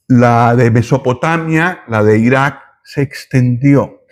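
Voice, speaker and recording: A man teaches from the Bible in a slow, unhurried way. He speaks at a mid pitch and stops to correct himself as he explains. His carries through his careful, reflective delivery. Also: darkish tone. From Colombia. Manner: slow, thoughtful, with pauses and self-corrections.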